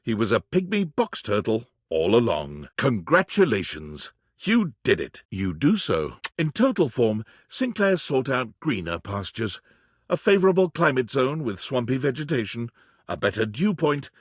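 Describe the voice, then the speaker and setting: Narrator for an absurd romance game: deadpan storyteller, slightly rough texture, animated pitch, very dry recording. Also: male voice, normal speed, dry recording. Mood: deadpan, dramatic. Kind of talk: scripted narration.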